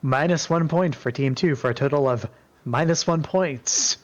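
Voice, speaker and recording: A man's voice: fairly high pitch, moderate pace, highs cut off. A man reading scripted story narration as part of a group roleplay dramatic reading.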